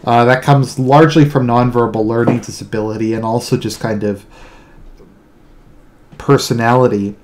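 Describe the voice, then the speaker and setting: Man, deadpan delivery, mid-pitched voice, halting casual commentary, frequent restarts. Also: slight background noise. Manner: hesitant, repeats words and restarts often. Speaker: online video commentator. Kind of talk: casual monologue explaining own humour.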